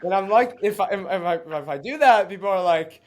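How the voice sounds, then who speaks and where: Man, interview guest, slightly higher voice, halting and thoughtful, restarts often. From born in China, raised in Canada. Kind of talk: reflective conversational interview.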